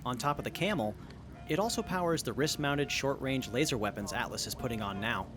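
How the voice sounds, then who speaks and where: Man voicing a lively gadget-building engineer. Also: medium pitch, fairly quick, background noise, natural voice. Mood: proud. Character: male engineer who builds gadgets, keen and confident. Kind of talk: audio drama acting.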